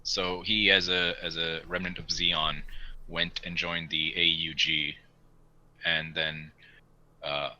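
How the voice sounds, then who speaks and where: A man's voice: low voice, level tone, slow pace, pushed and bright. A young man chatting casually and in a rambling way as a podcast host. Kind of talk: casual chat.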